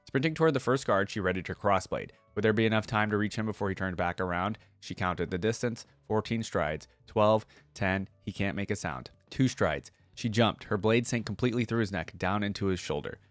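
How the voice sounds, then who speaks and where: Male host, lowish voice, dry close sound; relaxed colloquial delivery for lore reading and casual commentary. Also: brisk, few pauses, dry recording, soft background music. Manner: laid-back, colloquial fan talk. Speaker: reaction video host reading lore aloud.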